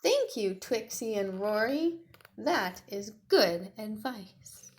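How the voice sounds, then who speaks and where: Woman voicing a tiny, earnest, slow-speaking young dinosaur. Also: medium pitch, lively intonation, background noise. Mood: determined. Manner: emphatic, deliberate, childlike delivery. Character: tiny earnest young male T. rex, determined. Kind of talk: children's storybook read-aloud.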